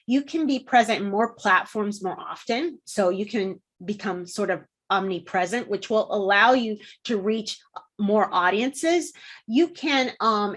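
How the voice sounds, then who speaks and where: A woman's voice: mid-range voice, a bit bright. Friendly woman explaining with ums. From Puerto Rico. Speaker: guest, content marketing consultant. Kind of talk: interview answers.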